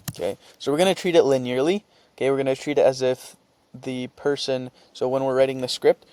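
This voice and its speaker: Man giving an informal, halting software tutorial. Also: mid-range voice. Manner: halting, thinking aloud while typing and reading out script. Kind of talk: informal screen-share tutorial.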